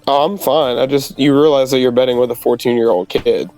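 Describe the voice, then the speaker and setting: A man's voice: medium pitch, fairly quick, darkish tone, soft background music, low-quality recording. A man playing a tabletop roleplaying game, bantering casually in and out of character.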